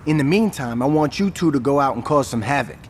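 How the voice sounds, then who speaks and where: A man's voice: medium pitch, lively intonation, quick pace, a little hiss or hum, lightly acted. An actor playing a calculating, level-headed male gang strategist who lays out plans firmly and with exasperation. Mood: exasperated. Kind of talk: video game cutscene acting.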